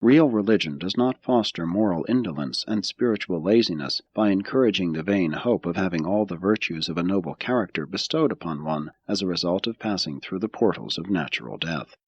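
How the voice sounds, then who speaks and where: Deep, husky man narrating with expressive range. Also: fairly quick, hardly any pauses, dull audio. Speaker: audiobook narrator. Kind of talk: scripted audiobook reading of religious philosophy.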